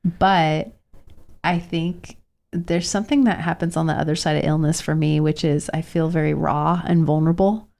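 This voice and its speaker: A woman's voice: deep voice, fairly even intonation, creaky, warm tone. A woman in her thirties co-hosting a podcast, sounding a little nasal from a cold, speaking earnestly and reflectively. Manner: earnest, reflective, slow in places. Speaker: podcast co-host. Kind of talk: casual podcast conversation.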